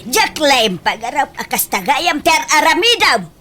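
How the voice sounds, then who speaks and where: A woman's voice: high voice, sing-song, a little slow, bright, forceful tone, slight background noise, full character voice. A woman playing a nosy older village auntie in an Ilocano comedy radio drama. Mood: scolding, shocked.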